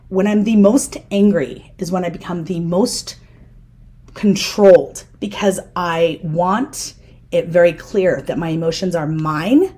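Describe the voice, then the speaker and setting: A middle-aged woman hosting a casual interview, talking in a loud, firm and direct way. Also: lowish voice. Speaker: podcast host.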